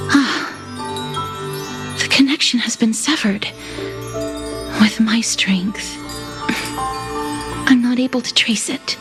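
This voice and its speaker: A woman voicing a gentle, earnest young healer in a fantasy video game, softly and politely, with tender reassurance. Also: on the high side. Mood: anxious, focused. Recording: music under the voice.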